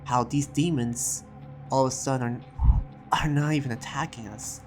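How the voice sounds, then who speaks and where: A man voicing an earnest demon slayer who reads out his research journal in a reflective, halting way, as a dramatic roleplay monologue. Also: medium pitch, steady tone, close to an everyday voice. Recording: music behind the voice.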